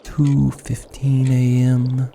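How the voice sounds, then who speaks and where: An actor playing an intense, impatient, obsessive young medical scientist in a horror audio drama, with formal, arrogant diction. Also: male voice, medium pitch, monotone, very slow, creaky, dark tone, a little hiss or hum. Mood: cold, clinical.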